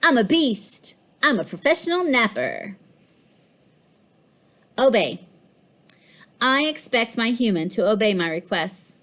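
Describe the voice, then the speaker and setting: A woman's voice: a little high, sing-song, slow pace, pauses often, a bit bright, full character voice. A woman voicing a smug, mischievous pet cat in a storybook, with a boastful, emphatic delivery. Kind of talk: storybook read-aloud.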